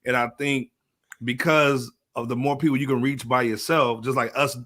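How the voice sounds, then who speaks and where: A man's voice: medium pitch, fairly even intonation, moderate pace, bright, forceful tone. A middle-aged man with an American accent, chatting casually and in slang as a podcast panelist. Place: at home, remote broadcast.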